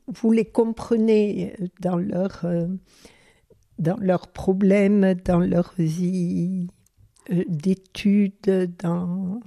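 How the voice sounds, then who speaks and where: A woman interviewer asking questions in a halting way, searching for her words. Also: mid-range voice, creaky, dark tone.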